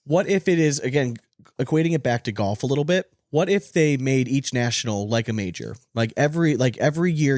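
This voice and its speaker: A man's voice: mid-range voice, a little mellow, dry recording, clean studio sound. A man co-hosting a casual sports podcast, talking in an emphatic, opinionated way and swearing casually. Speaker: podcast co-host.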